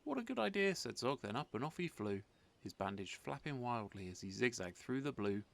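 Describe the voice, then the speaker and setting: A man reading a rhyming children's story aloud as an expressive narrator. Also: fairly low pitch, moderate pace, gravelly. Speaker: storybook reader.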